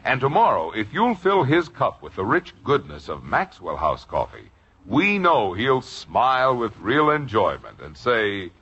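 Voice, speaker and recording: Male announcer, vintage radio pitchman: persuasive, husky, widely varied intonation, dull old recording. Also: huge pitch swings, unhurried, fairly bright tone. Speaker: radio announcer reading a sponsor's ad. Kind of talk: 1950s radio commercial announcing.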